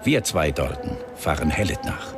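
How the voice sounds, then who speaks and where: An actor playing an irascible, grumbling police inspector, gruff and emphatic, in a German radio crime drama. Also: male voice, rumbling low, very animated, normal speed, music in the background. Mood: brisk, determined.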